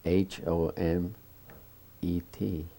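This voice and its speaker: An older man in his seventies, from a small town in Romania, speaks slowly in a deep, gravelly voice. He searches for words and restarts often, and his tone is dark and mellow. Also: little pitch movement. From Bukovina, Romania. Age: 70s. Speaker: Holocaust survivor interviewee. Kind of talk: oral history interview.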